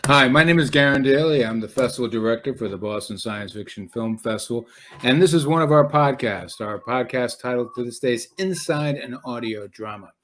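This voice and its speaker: A man's voice: mid-range voice, brisk, fairly bright tone, slight background noise. A man hosting a podcast, introducing and interviewing in a conversational style. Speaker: podcast host.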